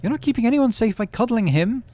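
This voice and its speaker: A man's voice: very high voice, expressive, fast talker, voice pushed up. A man reading a game's dialogue aloud and voicing a firm, outspoken mother in a raised voice. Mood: insistent. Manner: sharp, insistent.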